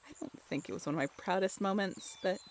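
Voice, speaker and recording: A woman's voice: medium pitch, fast, a little hiss or hum, natural voice. A young woman playing a playful, tough-talking but shy writer in an intimate, chatty audio roleplay. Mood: teasing, amused. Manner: chatty, teasing, giggly.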